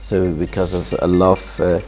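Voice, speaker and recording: A man from Afghanistan answering interview questions as a guest, pausing and restarting often. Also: deep voice, flat delivery, darkish tone, soft background music. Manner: hesitant, many restarts and fillers, laughs in places. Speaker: guest, charity founder.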